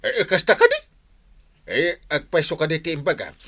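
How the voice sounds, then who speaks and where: A man with an Ilocano accent acting an excitable, suspicious middle-aged village captain in a comedy radio drama, exclaiming and sputtering. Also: fairly high pitch, a little fast, exaggerated voice. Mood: wary, fussing. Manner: exclaiming, sputtering, swooping between grumbles and yelps.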